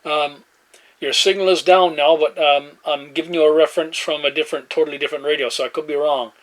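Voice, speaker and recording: A man chats casually in a halting, laid-back way, using CB radio jargon like a hobbyist at his desk. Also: fairly high pitch, steady tone. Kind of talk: casual radio chat and demo narration. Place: indoor desk beside CB radio sets. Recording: noisy room with radio hiss. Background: radio static and room noise.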